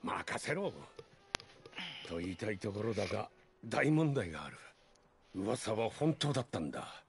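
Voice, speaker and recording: Vigilante leader, gravelly, husky, quick, earnest, video game voice. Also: male voice, lowish voice, big pitch swings, soft background music. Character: gruff middle-aged male slum vigilante leader. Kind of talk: Japanese video game voice acting.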